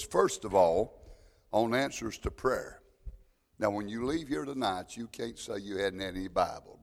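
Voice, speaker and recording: A loud-voiced man teaching an evening Bible lesson, plainspoken and folksy, with a homespun joke now and then. His pitch sits at an ordinary middle level for a man. The sound carries a long reverb, as if he is recorded in an echoey hall.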